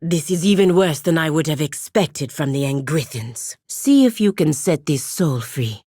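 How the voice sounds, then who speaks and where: Stern, deep-voiced warrior woman. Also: lively intonation, mellow, breathy edge, dry recording, clean studio sound. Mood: stern, disdainful. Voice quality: very low-pitched voice. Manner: formal, measured, stern, disdainful. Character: stern, proud, blunt female warrior, scornful of gods. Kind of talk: video game voice acting, formal scripted dialogue.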